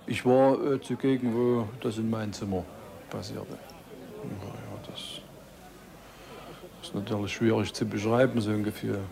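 Man from East Germany, monotone, creaky, halting speech, crowd noise behind. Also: on the low side. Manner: halting, searching for words. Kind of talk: halting interview recollection. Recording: busy crowd murmur behind.

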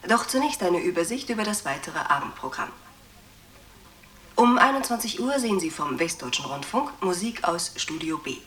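A woman's voice: fairly low pitch, big pitch swings, normal speed, a bit bright. A woman playing a courteous, composed television announcer reading out the evening's programme in a formal scripted style. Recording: roomy, distant, faint noise.

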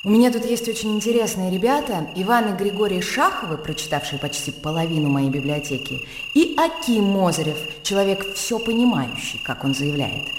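A woman's voice: medium pitch, natural voice. An actress playing a cultured, wryly ironic lady of a country estate in a Russian radio drama. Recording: echoey hall, noisy insect ambience.

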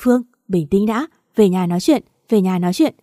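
A woman's voice: mid-range voice, lively intonation, brisk, warm tone, dry recording, clean studio sound, lightly acted. A woman doing a curt, brutal husband. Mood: harsh. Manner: curt, bossy orders. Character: cold, brutal husband, harsh and dismissive.

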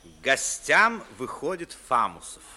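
Bright-voiced male radio play narrator. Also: a little high, sing-song, a little slow.

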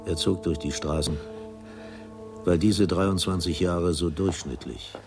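A detached storyteller with an extremely low voice narrates a radio play gravely and deliberately. Music plays clearly behind him. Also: male voice, steady tone. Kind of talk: scripted radio drama narration.

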